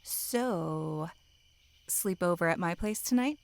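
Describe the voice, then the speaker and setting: A woman's voice: fairly low pitch, lively intonation, takes it slowly, gravelly, no room sound, exaggerated voice. A woman playing a sassy, teasing young female camp counselor in an audio drama, with a flippant, playful manner. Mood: playful, inviting.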